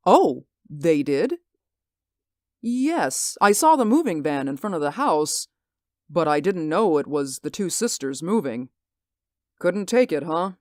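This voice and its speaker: A nervy, obsessed radio-play man who stammers and pleads, his voice swinging widely up and down. He talks slowly, and the sound is close and dry, as in a 1940s radio drama. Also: fairly high pitch, very animated, a little mellow, no room sound. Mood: uneasy. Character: jittery, obsessed man haunted by a neighbor's window. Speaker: actor.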